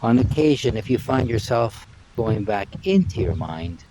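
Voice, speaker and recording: A man leading a live guided meditation in a calm, measured way, in short phrases with long pauses, outdoors. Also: medium pitch, background noise. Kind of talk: live guided meditation, spoken softly and unscripted. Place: outdoors by water and trees.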